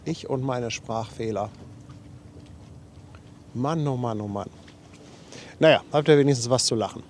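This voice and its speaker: A man's voice: medium pitch, measured pace, a little mellow, slight background noise. A man with a slightly runny nose from a cold, chatting casually as a livestream host and reading out viewer messages in a chatty, self-deprecating way. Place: outdoors on a harbour riverbank.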